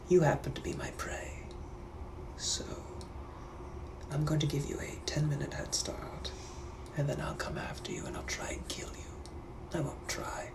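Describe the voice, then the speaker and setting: A young man putting on a British accent to play a suave, menacing nineteenth-century gentleman, talking smoothly, often half-whispered, and calling everyone darling. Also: fairly high pitch, background noise, close to an everyday voice. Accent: British, put-on. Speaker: sketch creator. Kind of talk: POV comedy sketches.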